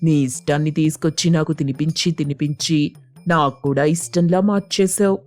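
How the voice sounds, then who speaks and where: Woman as a flat-toned, mellow cartoon husband crow. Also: low-pitched, normal speed, faint music behind, lightly acted. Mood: mildly reproachful. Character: affectionate, nagging husband crow craving hot fritters. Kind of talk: children's cartoon story dialogue.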